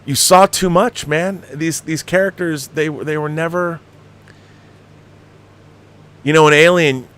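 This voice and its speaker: A man hosting a film commentary livestream, talking casually and bluntly in an emphatic, halting, opinionated way, repeating himself for emphasis. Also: on the high side, steady tone, fairly bright tone, clean tone. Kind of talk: casual opinionated rant, answering viewer comments.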